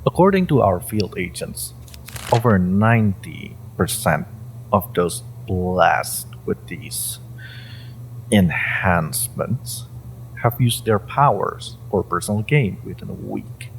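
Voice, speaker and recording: Man playing a menacing male interrogator, low gravelly voice, sardonic, pointed pauses, audio drama, faint background noise. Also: very animated, heavily acted, poor audio. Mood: sardonic, accusing. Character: menacing, suspicious male agency interrogator, coldly patient. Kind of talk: slow dramatic monologue, audio roleplay.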